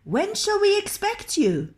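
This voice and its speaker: A woman voicing a calm, unafraid male innkeeper in a children's storybook, unruffled and slyly understated. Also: very high-pitched, measured pace, voice pushed up, full character voice. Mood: sly, welcoming. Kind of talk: storybook character voice.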